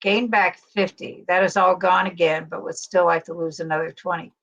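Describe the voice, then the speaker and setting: A woman's voice: fairly low pitch, fairly even intonation, moderate pace, fairly bright tone, dull audio. A woman hosting a live stream, chatting enthusiastically and reading out viewer comments.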